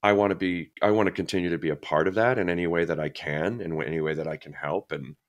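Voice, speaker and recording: A middle-aged man with a notably low voice answers interview questions in a casual, reflective way. He talks thoughtfully, with frequent fillers and restarts as he searches for the right words. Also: steady tone, fast, lo-fi sound. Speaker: guest actor.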